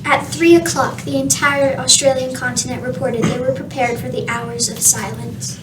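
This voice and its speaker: A woman's voice: high voice, fairly even intonation, normal speed, distant mic, lightly acted. A woman delivering scripted, dramatic narration for a radio play. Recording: noisy, off-mic.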